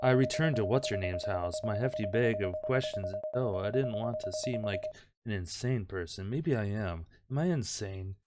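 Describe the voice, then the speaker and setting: A man's voice: lowish voice, normal speed. A man hosting a gaming video, reading a visual novel aloud in a mock-dramatic way and breaking off for joking asides. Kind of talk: comic read-aloud of game text with joking asides. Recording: music playing behind. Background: loud background music.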